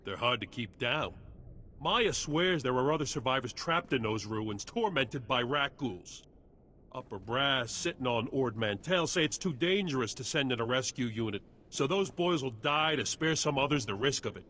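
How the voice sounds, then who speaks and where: Forceful, folksy military officer. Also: male voice, medium pitch, expressive, moderate pace, a little hiss or hum. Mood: earnest. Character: hearty male military officer, loyal to his soldiers. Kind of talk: video game dialogue.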